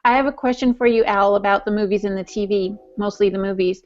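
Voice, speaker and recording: A woman's voice: medium pitch, fairly even intonation, fast, soft background music. A woman co-hosting a podcast, chatting casually in a playful, teasing way. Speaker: podcast co-host. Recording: slightly dull audio.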